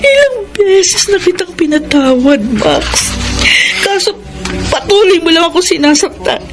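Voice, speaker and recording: An actress with a Filipino accent playing a hurt, weary wife in a radio drama, speaking with tearful emotion. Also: female voice, extremely high pitch, very animated, a little slow, heavily acted. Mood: anguished, accusing. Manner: tearful, emotional, pleading delivery. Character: hurt, weary wife ending her loveless marriage. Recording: loud music under the voice.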